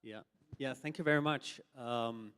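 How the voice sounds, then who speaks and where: A man's voice: mid-range voice, measured pace, fairly bright tone, clean tone. A middle-aged man from Denmark, a building consultant speaking on a forum panel, with many hesitations and filler sounds. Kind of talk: panel discussion answer.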